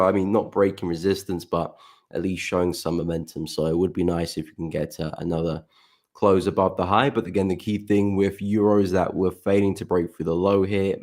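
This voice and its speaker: A man hosting a live market analysis stream, his voice croaky as he keeps clearing his throat, chatting relaxedly and greeting viewers by name. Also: low voice, level tone, normal speed, darkish tone. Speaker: forex trading livestream host.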